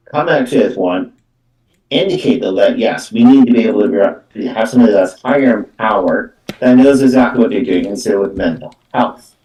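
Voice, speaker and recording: Echoey, distant low-voiced man reading cards. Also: warm tone. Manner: repetitive, halting phrasing with verbal tics like "though" and "actually". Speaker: psychic medium doing card readings. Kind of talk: live spiritual card reading, improvised. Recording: echoey room, distant from microphone.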